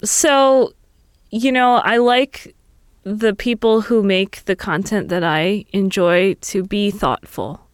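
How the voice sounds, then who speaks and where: A woman's voice: mid-range voice, takes it slowly, clear, resonant tone, dry recording, clean studio sound. A woman who works in radio, chatting as an interview guest. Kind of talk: conversational interview.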